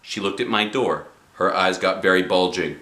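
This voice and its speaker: A man reading a children's book aloud in an expressive storytelling style. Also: deep voice, moderate pace, echoey room. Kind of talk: animated storybook narration.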